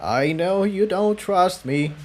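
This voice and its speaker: Man voicing a hesitant, higher-pitched female pony defector. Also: lively intonation, slow, exaggerated voice. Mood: earnest. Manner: hesitant, carefully chosen words.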